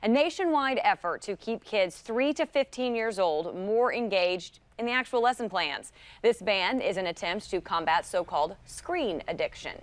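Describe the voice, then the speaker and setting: A woman morning news anchor speaks at a medium pitch. She reads items and chats with co-anchors, and the recording is dry and close. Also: lively intonation, normal speed, dry recording. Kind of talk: news reading and co-anchor chat.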